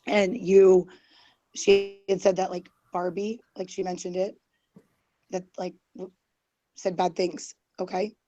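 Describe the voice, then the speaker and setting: A woman's voice: lowish voice, level tone, takes it slowly, pauses often, mellow, dry recording, muffled recording. A woman who is losing her voice, talking defensively and rambling with many fillers on a group call. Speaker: guest. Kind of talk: heated online group call.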